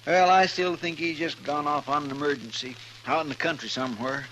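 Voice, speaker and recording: High-voiced fretful western sidekick. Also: male voice, fairly even intonation, fairly quick, bright, forceful tone, low-quality recording. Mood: worried. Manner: folksy country drawl, earnest and fussy. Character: fretful, loyal, homespun marshal's sidekick. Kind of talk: old-time radio western acting. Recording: vintage radio recording with background hiss.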